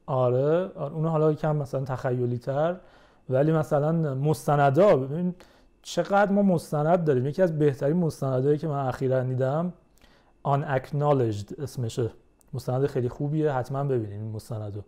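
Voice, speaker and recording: A young man in casual conversation as a podcast guest, explaining things in a measured way. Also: mid-range voice, dark tone.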